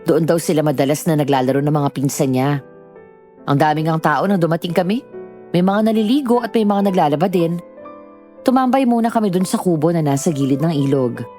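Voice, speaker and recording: A woman's voice: low voice, a little fast, dark tone, lightly acted. A woman playing a young letter-writer, narrating her story in a subdued, confessional way with a Filipino accent. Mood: reflective. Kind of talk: first-person scripted horror-story narration. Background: music.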